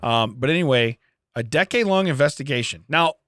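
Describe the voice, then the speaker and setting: Animated, wisecracking male podcast co-host. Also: mid-range voice, fairly quick, no room sound, clean studio sound. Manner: jokey, wry running asides. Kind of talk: casual comedy chat, reading and riffing on a news story.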